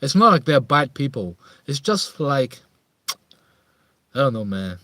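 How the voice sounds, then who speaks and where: A man with a Chinese accent talks in a close, dry recording at an unhurried, slow pace. He hosts a casual live chat, thinking aloud in halting phrases that he often repeats. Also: medium pitch, very animated, sharp tone, dry recording. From Harbin, northeast China. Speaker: livestream host.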